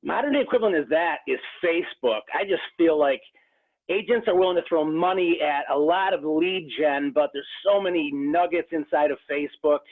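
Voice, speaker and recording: A man's voice: on the high side, a little fast. Earnest man on a tinny phone line. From Rochester, New York area. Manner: earnest, frequent restarts and fillers. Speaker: real estate agent. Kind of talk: casual interview talk.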